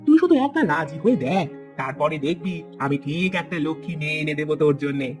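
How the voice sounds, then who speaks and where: An actor plays an elderly village mother in a cartoon. She rattles along quickly, nagging and coaxing with a wistful, complaining manner, over soft background music. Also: mid-range voice, animated, close to an everyday voice. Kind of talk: cartoon voice acting. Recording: music bed behind.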